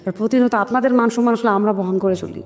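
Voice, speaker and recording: A woman's voice: a little high, steady tone, fast talker, a little mellow. A woman with a Bangladeshi accent telling her life story in an interview, speaking earnestly and emphatically and acting out remembered dialogue. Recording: long reverb, music behind. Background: music.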